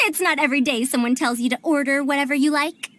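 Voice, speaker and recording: Self-important, youthful-sounding centenarian scholar, high-pitched. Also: female voice, a bit bright. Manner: haughty, theatrical. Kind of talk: video game cutscene acting. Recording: clear music behind voice.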